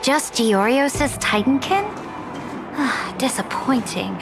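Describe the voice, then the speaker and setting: An actress playing a haughty young conquering empress in a video game, formal and imperious. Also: female voice, high voice, slow. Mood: cool, imperious. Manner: imperious, formal, grandly confident. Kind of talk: scripted game voice acting. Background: game music.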